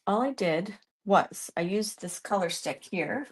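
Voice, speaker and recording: A woman's voice: mid-range voice, expressive, a little slow, breathy edge. A woman with a Canadian accent hosting a live art demonstration. She talks through her work as she goes, answers viewers' questions, and often trails off mid-sentence. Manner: chatty, thinks aloud while working, trails off mid-sentence. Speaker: art tutorial host.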